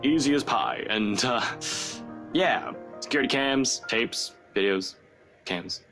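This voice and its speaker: A man's voice: mid-range voice, slow, pushed and bright. Blustering, nervy investigator played by a man, middle-register voice, stammers and swears, for a visual novel game. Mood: awkward bluster. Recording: music behind the voice.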